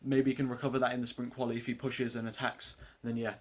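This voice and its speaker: A teenage boy with a deep voice for his age, hosting a casual live race watchalong, rambling with lots of ums and uhs as he answers chat questions. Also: level tone, fast talker, darkish tone. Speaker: live stream host.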